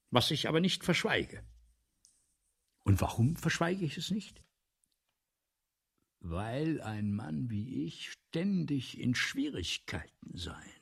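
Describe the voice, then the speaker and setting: A man's voice: medium pitch, exaggerated voice. A male actor in a radio play playing a vain, ailing, agitated playwright who rambles imperiously and swings between muttering and outbursts. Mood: self-important. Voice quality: husky, gravelly. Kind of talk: 1970s German radio play acting.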